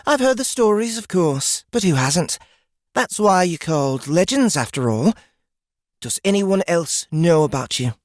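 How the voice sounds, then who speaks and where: Brash fantasy woodswoman acted by a narrator, raised pitch, blunt, amused, swooping delivery, dry sound. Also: expressive, a little slow, no room sound, lightly acted. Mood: excited, knowing. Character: brash, mocking, experienced woodswoman of fantasy world.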